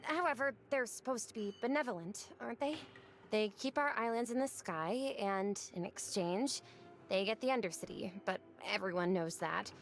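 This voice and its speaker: A woman's voice: medium pitch, normal speed, close to an everyday voice. An actress playing a naive, bookish young woman in a 1930s-set game, earnest, precise and a little hesitant. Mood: nervous, curious.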